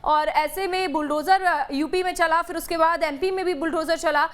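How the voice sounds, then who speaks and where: A woman's voice: extremely high pitch, steady tone, moderate pace. A woman reading and discussing news as an anchor, emphatic and given to repeating key phrases. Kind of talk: broadcast news reading and questioning.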